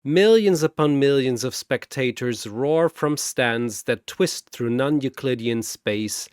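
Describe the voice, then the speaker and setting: A man giving scripted story narration in a dramatic manner with wry, deadpan asides. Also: mid-range voice, takes it slowly, clean tone, no room sound, studio quality.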